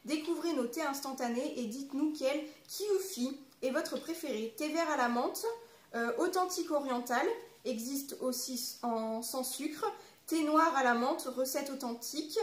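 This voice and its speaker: A woman in her twenties with a French accent from France, chatting informally and reading aloud as a vlogger. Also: sits high. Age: 20s. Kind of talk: casual chat with reading aloud. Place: small living room at home. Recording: roomy echo, clear background noise.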